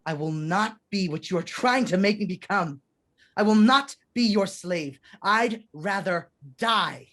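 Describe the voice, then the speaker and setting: A performer playing a desperate, haunted fugitive man who fears for his sanity, halting and anguished, in 1940s radio melodrama style. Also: mid-range voice, a bit bright, natural voice. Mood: grimly defiant.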